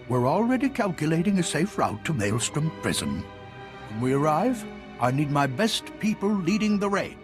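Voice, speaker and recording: An actor playing a determined Republic fleet commander in a video game, speaking with solemn authority. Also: male voice, on the high side. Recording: music under the voice.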